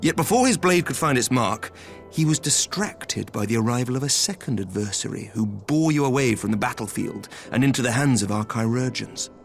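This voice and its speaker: An actor voicing a composed, caring nobleman in a fantasy video game, speaking formally and gently. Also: medium pitch, expressive, a little fast, vocal fry, faint music behind. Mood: relieved, solemn. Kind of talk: dramatic video game voice acting.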